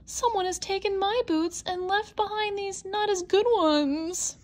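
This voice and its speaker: A woman voicing a little female baby bear with a high, squeaky voice, wailing and sputtering in a storybook reading. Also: unhurried.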